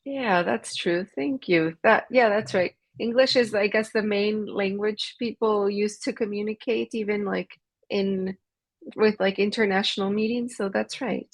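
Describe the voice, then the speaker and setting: A woman's voice: mid-range voice, steady tone, measured pace, no room sound. A young American woman tutoring English in casual conversation, friendly, asking questions and laughing often. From USA. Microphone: video call.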